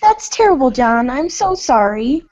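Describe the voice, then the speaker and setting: A woman's voice: sits high, very animated, measured pace, a little mellow, highs cut off. A woman acting a sweet, upbeat, sincere teenage girl in a storybook-style reader's theater. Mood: sympathetic.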